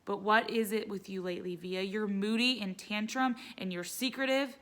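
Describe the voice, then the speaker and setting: A woman's voice: mid-range voice, steady tone, moderate pace, fairly bright tone, close to an everyday voice. A woman voicing a concerned, affectionate mother in a storybook, earnest and questioning. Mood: upset.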